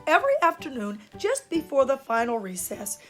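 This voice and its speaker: A woman storyteller giving an expressive children's read-aloud with dramatic emphasis. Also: high-pitched, moderate pace, soft background music. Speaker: storyteller and show host.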